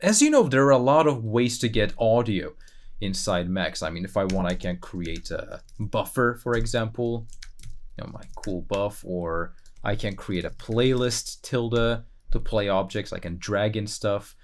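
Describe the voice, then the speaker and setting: Man, low voice, tutorial host, casual, conversational, thinking aloud, playful asides. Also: expressive, unhurried.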